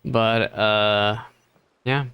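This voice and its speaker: A man giving casual live commentary on a video game, chatty and expressive, with sudden excited outbursts. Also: on the low side, extremely slow, creaky, clean tone, no echo, muffled recording. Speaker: streamer reacting to a game.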